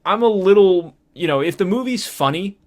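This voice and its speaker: A man's voice: high-pitched, expressive, moderate pace. A man co-hosting a casual film discussion podcast, talking in a hesitant, meandering way. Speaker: podcast co-host.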